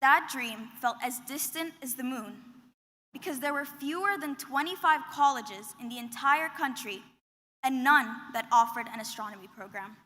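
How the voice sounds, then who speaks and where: High, bright young woman in a hall. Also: moderate pace. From Qatar. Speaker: student commencement speaker. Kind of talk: prepared graduation speech. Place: large commencement hall. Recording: long reverb of a big hall.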